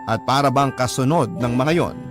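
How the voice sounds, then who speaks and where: A man's voice: medium pitch, moderate pace. A man narrating a first-person story as a radio storyteller reading a letter, in a dramatic scripted style. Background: music bed.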